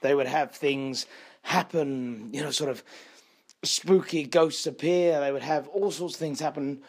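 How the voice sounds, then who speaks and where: Husky-voiced man hosting a podcast. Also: on the high side, a little slow. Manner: chatty, explanatory storytelling. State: croaky, husky voice. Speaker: podcast host and escape artist. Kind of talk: solo podcast monologue.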